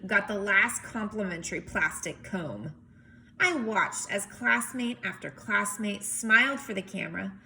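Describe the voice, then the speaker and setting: A woman reading a storybook aloud in an expressive, emphatic way, with chatty asides as if to young children. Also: mid-range voice, a little hiss or hum. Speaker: teacher or reader reading a picture book aloud.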